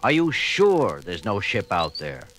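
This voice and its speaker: Expressive starship captain, lively pitch, commanding, 70s record drama with music. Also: male voice, a little slow. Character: decisive male starship captain. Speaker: captain and log narrator. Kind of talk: 1970s audio drama.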